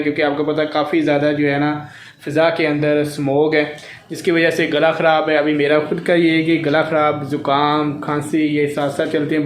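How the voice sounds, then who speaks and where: A man, likely in his thirties, talking casually to camera as a family vlogger, explaining things and repeating his advice. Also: fairly high pitch. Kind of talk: casual vlog talk to camera. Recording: echoey room sound, clear background noise.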